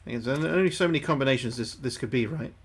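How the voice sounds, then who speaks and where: A man's voice: mid-range voice, quick pace, a little hiss or hum. A young man livestreaming a game, thinking aloud to his chat, sweary and repeating himself. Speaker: livestreaming gamer. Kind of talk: casual gameplay commentary to chat.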